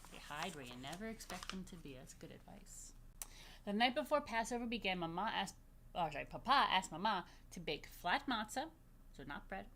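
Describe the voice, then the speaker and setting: A woman's voice: mid-range voice, a bit bright. A woman rabbi leading a children's story time, reading aloud and adding playful, simply worded asides with plenty of repetition. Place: virtual story time recorded in a room.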